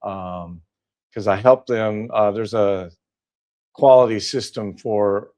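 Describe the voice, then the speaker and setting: An older man with a fairly low voice talks as a guest in a relaxed interview. He is from Southern California, and he pauses often with "uh" and restarts his sentences as he goes. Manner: hesitant, many fillers and restarts. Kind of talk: casual interview chat.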